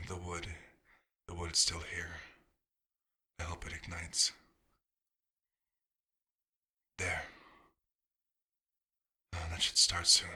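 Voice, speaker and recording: A quiet, nostalgic vampire doctor, played by a man in an ASMR roleplay. His voice sits very low and stays nearly flat, with a very sharp, bright edge. He murmurs to himself without hurry, fussy and gently wistful. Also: heavily acted. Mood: wistful, resigned. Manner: unhurried, murmuring to himself with pauses.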